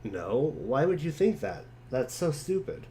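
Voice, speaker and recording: A man playing an excitable, goofy, teasing boy in a dramatic webcomic reading, with a cheeky, animated delivery. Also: fairly high pitch, unhurried, voice pushed up. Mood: cheeky, defensive.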